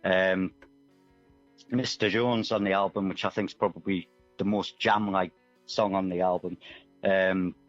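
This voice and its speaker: A man's voice: deep voice, level tone, unhurried, long pauses, highs cut off, soft background music. A man chatting casually as a musician guest in an interview, explaining things modestly and a little hesitantly. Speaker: musician guest, singer-songwriter.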